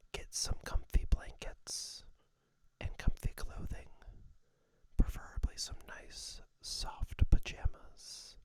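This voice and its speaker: This is an ASMR host speaking entirely in a soft, breathy whisper. The delivery is very slow and unhurried, guiding a listener gently through a relaxing meditation and body scan. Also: no room sound. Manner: gentle, soothing, unhurried instruction.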